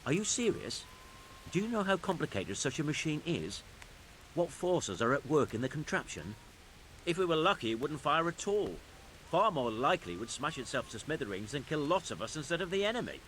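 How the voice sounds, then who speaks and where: An actor playing an anxious, deferential medieval master engineer in a game, speaking hesitantly. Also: male voice, a little high, big pitch swings, brisk, fairly bright tone. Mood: worried, exasperated. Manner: hesitant, stammering. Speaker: mining engineer. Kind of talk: dramatic game cutscene acting. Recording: faint hiss and background noise.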